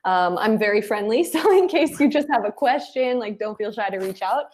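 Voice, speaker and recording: A woman speaking as an interview guest, giving advice and telling anecdotes in an animated, conversational way. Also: mid-range voice, normal speed. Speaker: interview guest, head of client acquisition.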